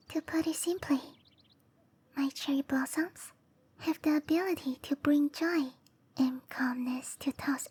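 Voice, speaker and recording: Lady doing a shy cherry blossom spirit girl, high breathy whisper, really slow n hesitant, soft asmr roleplay.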